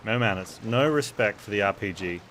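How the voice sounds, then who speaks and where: A man's voice: lowish voice, lively intonation, a little fast, a bit bright, a little hiss or hum, rough recording. A man streaming a game with casual, offhand commentary, musing aloud as he plays.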